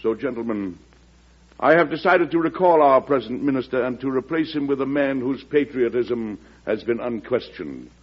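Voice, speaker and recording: An actor playing a shrewd, folksy American president with wry humour, speaking deliberately and oratorically in 1940s radio drama style. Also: male voice, medium pitch. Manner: oratorical, deliberate, chuckling in private talk. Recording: dull old radio recording, husky sound.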